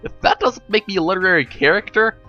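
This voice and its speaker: A man's voice: high voice, expressive, fast, bright, forceful tone, dull audio, faint music behind, pitched above the performer's own voice. A man voicing a brooding, defensive and sarcastic young man in a dramatic storybook reading.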